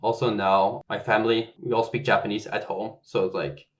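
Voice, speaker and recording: Low-voiced man from Belgium, dull audio. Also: moderate pace. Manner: relaxed, chatty, heavy use of "like". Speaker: software engineer guest. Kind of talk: casual conversational interview.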